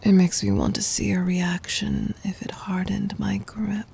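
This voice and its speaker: A woman playing a gentle, dominant demon queen in an ASMR roleplay, teasing and intimate, in a breathy whisper. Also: medium pitch, flat delivery, a little hiss or hum. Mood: playful, possessive. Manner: slow, intimate, commanding.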